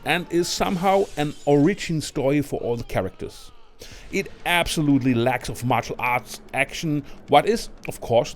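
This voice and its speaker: A male reviewer narrates a scripted ranking of movies. His voice sits at an average pitch for a man. Music runs behind the narration. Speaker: video presenter and film reviewer.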